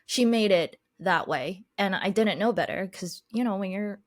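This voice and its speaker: A woman guest telling her life story in an interview, reflective and unhurried, whose first language is Chinese. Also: medium pitch, no room sound, studio quality. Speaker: podcast guest, life coach.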